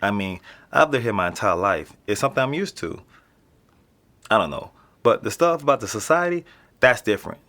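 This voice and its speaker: A blunt young tenant who swears casually and sounds uneasy. His voice sits low but swings widely in pitch. He comes across as an audio drama character. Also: lively intonation, a little fast, long pauses, sharp tone. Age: young adult. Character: young male lifelong tenant, wary and blunt.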